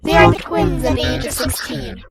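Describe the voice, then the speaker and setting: A performer voicing a faceless, telepathic monster leader, commanding and patronising, in a breathy, gravelly whisper. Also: moderate pace, close to an everyday voice. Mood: scheming. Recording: loud music over the voice.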